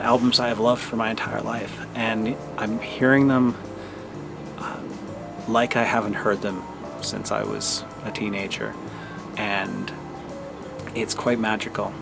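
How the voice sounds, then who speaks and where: A middle-aged man giving a thoughtful, halting personal monologue as a vlogger, in a measured way. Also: on the low side. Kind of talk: personal reflective monologue to camera.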